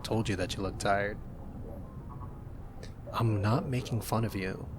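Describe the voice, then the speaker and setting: Young man, low pitch, playful teasing, gentle and soft-spoken, steady hiss behind. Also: measured pace. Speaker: ASMR roleplay boyfriend. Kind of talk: soft-spoken ASMR roleplay.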